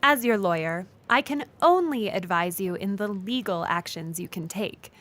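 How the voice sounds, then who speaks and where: An earnest, formal female lawyer played by a woman gives absurdly literal counsel in a deadpan comedy sketch. Her mid-range voice comes with faint noise behind it. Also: mid-range voice, normal speed, lightly acted. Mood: formal, sympathetic.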